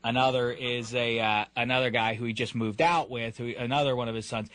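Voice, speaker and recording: A man's voice: mid-range voice, steady tone, fairly quick, a bit bright, no room sound. A man in his thirties hosting a comedic radio show, teasing a caller in a mock-formal way with frequent stammered restarts. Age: 30s. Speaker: radio host.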